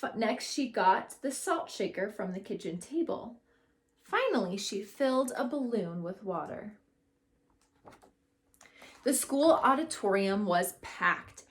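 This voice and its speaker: A woman educator giving an expressive read-aloud storytelling lesson for children, acting out the characters' lines. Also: mid-range voice, slow. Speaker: school educator reading to children.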